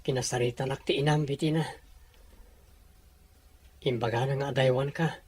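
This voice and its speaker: Earnest poor young Filipino sweetheart, played by a man, radio soap, breathy, rough-edged voice. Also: medium pitch, steady tone, normal speed, a little mellow, natural voice. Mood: dejected. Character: humble, earnest poor young male suitor. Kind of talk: Filipino radio drama acting.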